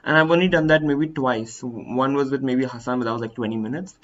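A man's voice: mid-range voice, brisk, muffled recording, crowd noise. A nineteen-year-old man hosting a podcast interview, eager and rambling, with restarts. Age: young adult, 19. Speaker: podcast host. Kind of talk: casual interview.

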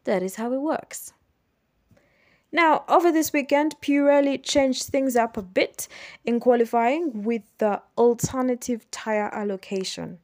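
A woman's voice: a little high, a little slow, darkish tone. A young woman from Uganda hosting her own podcast, chatting through a race recap and explaining things step by step, correcting herself as she goes. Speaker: podcast host. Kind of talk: solo sports recap podcast.

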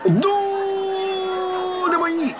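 A man's voice: extremely high pitch, extremely slow, a loud crowd, very noisy, voice pushed up, full character voice. A man playing a restless everyman in a poetic radio drama, delivering clipped, emphatic fragments. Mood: agitated.

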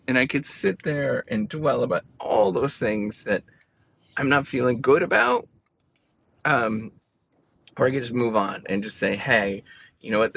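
Rambling man with a gravelly, squeaky voice. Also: fairly bright tone, lo-fi sound, slight background noise. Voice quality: says he has a squeaky voice. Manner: rambling, self-reassuring, many "you know" fillers. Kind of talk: reflective personal monologue.

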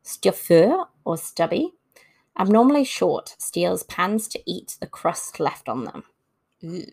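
Woman, slightly low pitch, noticeable vocal fry, informal folklore narration, reading notes with casual asides. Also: very animated, slow, a little mellow. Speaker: host.

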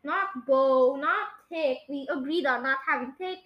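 A young female-sounding podcast host chatting casually in an excitable way, often repeating phrases, during a guessing game. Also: sits high, a little slow, lo-fi sound.

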